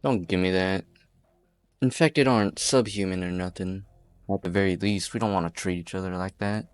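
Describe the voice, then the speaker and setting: A man playing a calm, menacing leader of hostage takers in an amateur game fan dub, unhurried, folksy and coolly threatening. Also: low voice, clean tone, no echo, faint music behind, close to an everyday voice. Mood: cool, principled.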